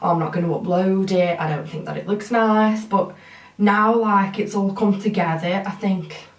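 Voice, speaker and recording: A woman's voice: medium pitch, moderate pace, recorded from a distance. A woman casually introducing her video with hesitant fillers. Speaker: beauty vlogger. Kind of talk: channel intro. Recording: roomy, away from the mic.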